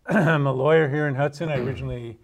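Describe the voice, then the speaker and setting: A middle-aged man from New York City introducing himself on a panel in a measured, plain-spoken way. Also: fairly high pitch, fairly even intonation, vocal fry. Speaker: lawyer.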